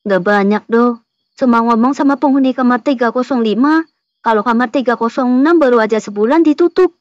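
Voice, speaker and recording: Casting: actress for young cartoon waitress, submissive and apologetic, higher register, slightly muffled lo-fi recording. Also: female voice, fairly quick, dry recording, natural voice. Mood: subdued, pleading. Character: meek, apologetic young female hotel waitress. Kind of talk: cartoon dialogue.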